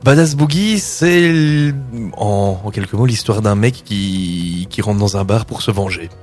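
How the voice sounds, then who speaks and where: Male musician, relaxed storyteller, slightly low voice. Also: a little slow, soft background music. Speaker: band member, musician. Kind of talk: casual interview.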